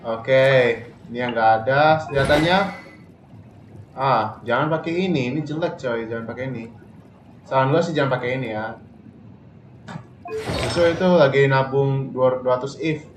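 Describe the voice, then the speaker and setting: A man's voice: medium pitch, moderate pace, long pauses, background noise. A man with an Indonesian accent chatting casually and informally to viewers as he streams a game. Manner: informal, slangy, addressing viewers. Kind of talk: casual livestream gameplay chat. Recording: roomy stream mic over game music. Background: game music.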